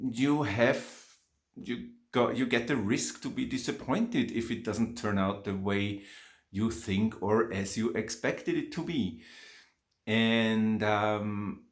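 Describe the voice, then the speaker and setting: A man's voice: fairly low pitch, reverberant. A man with an Austrian accent, German his first language, talking off the cuff in a one-take vlog, reflective and rambling with frequent ums and laughs. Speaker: spiritual vlogger and teacher.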